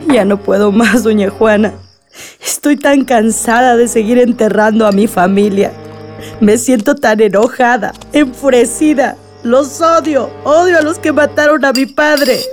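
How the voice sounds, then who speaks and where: Actress as anguished, high-voiced grieving daughter. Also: female voice, a little slow, faint music behind, lightly acted. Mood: grief-stricken. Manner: anguished, tearful, vengeful. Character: grieving, enraged daughter of a murdered man. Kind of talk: radio drama acting.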